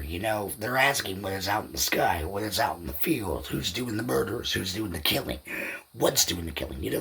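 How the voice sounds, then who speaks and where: A man's voice: fairly low pitch, vocal fry, a bit bright. A man hosting a solo podcast, talking informally and off the cuff in a rambling, repetitive way. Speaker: podcast host. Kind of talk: unscripted solo podcast talk.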